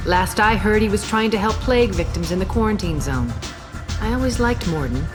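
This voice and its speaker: An actress playing a cool, commanding alien crime boss in a video game, imperious and sardonic. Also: female voice, medium pitch, lively intonation, brisk, music in the background, close to an everyday voice. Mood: wry.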